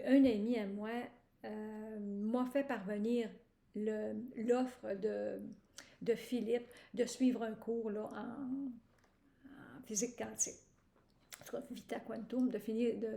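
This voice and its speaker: Québécoise woman, testimonial, hesitant and filler-heavy, raised pitch, echoey room. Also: fairly even intonation, creaky, mellow. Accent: Québécois French. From Quebec, Canada. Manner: halting, many "euh" fillers and restarts. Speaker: program participant giving a testimonial.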